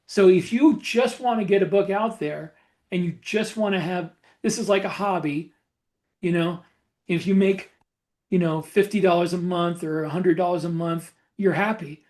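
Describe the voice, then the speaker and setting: Casting: male podcast co-host with a high-set voice, informal explainer delivery, plain-spoken and opinionated. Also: a little mellow. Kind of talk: casual explanatory podcast talk.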